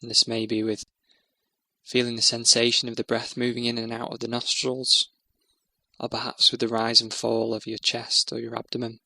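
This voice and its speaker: A man narrating a guided meditation in a soothing, measured, instructional way. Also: medium pitch, monotone, vocal fry, pushed and bright, no echo.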